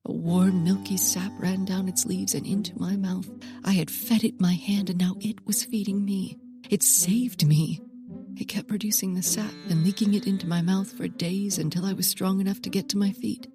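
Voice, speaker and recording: An actress playing an intense woman botanist confessing a forbidden love of plants in a comedic audio drama, theatrical, with dramatic pauses and hushed emphasis. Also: mid-range voice, fairly even intonation, lightly acted. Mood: solemn, weary. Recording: prominent music under the voice.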